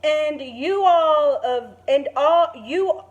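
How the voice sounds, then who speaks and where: Woman voicing a storybook school principal, proud, earnest, emphatic, drawn-out words, big pitch swings. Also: expressive, a little hiss or hum, heavily acted. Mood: emphatic announcing. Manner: emphatic, declamatory, stretched-out words.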